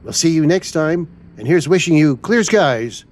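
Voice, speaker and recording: A man hosting a trucking game video, speaking in a relaxed, plain way as he signs off. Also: a little high, moderate pace. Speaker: channel host. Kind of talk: role-play sign-off for a trucking game video.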